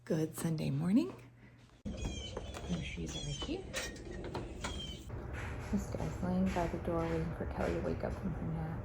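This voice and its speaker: A woman's voice: on the low side, wildly swooping pitch, unhurried, creaky, long pauses, background noise. A middle-aged woman chatting casually to a phone camera, rambling through updates on her days. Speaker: vlogger. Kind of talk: casual vlog talking to camera.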